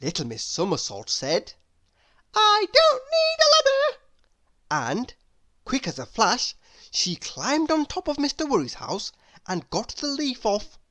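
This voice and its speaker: A woman reading a children's story aloud expressively, addressing young listeners with dramatic pauses. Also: medium pitch, big pitch swings, a bit bright.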